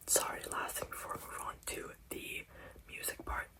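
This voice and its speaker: A whispering ASMR host who sounds congested from crying, rambling in a soft, meandering way with many fillers. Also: moderate pace.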